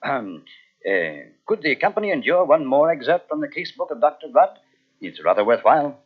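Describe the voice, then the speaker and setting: Husky, formal radio doctor. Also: male voice, a little high, very animated. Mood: revealing, deliberate. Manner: formal, deliberate, knowing. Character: measured, knowing male country doctor. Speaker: actor in radio drama. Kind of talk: old-time radio mystery acting. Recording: muffled old radio cassette transfer.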